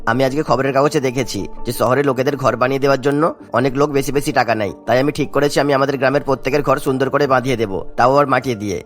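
An actor playing an earnest, pleading young village husband in a cartoon. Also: male voice, mid-range voice, fairly even intonation, fast, lightly acted. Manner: earnest, explanatory. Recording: music behind the voice.

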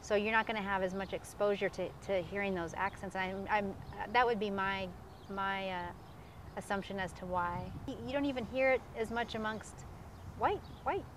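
A woman's voice: medium pitch, fairly even intonation, normal speed, background noise. Reflective middle-aged woman, outdoors. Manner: reflective, hedging with "I mean". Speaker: author interviewee. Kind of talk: thoughtful interview answers. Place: outdoors on a park lawn.

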